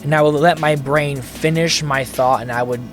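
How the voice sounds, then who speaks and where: Podcast host, man, voice on the high side, chatty explainer, faint music underneath. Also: steady tone, moderate pace, fairly bright tone. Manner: explains step by step, loose and chatty. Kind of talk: casual podcast chat.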